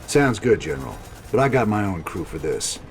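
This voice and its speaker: An actor playing a weary, tough rebel commander who speaks in a low, gritty drawl. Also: male voice, big pitch swings, a little fast. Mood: resolute. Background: music score.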